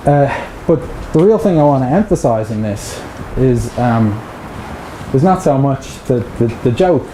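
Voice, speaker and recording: A man's voice: medium pitch, lively intonation, measured pace. A middle-aged man with an Irish accent giving a chatty academic seminar talk, with joking asides to the audience. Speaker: lecturer. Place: seminar room with projector. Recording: echoey room with background noise.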